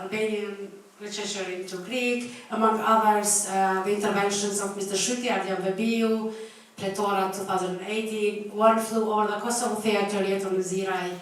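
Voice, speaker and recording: A woman moderating a literature festival, introducing an author and asking questions, with frequent fillers. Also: mid-range voice. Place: festival stage in a large hall. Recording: echoey hall, voice from far off.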